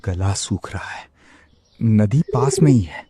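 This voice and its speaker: A man's voice: fairly low pitch, takes it slowly, dark tone, breathy edge, dry recording, heavily acted. A man voicing a meek, submissive jungle animal in a children's cartoon story. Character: meek, pleading male jungle animal underling. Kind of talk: animated children's fable acting.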